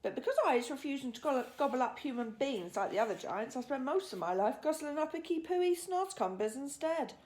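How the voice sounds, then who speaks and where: Woman putting on an emphatic old giant man, lively swoops. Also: sits high, brisk, hardly any pauses, voice pushed up. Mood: disgusted. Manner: emphatic, mangled grammar and invented words. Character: big friendly old male giant, emphatic, muddled speech. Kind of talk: children's storybook character reading.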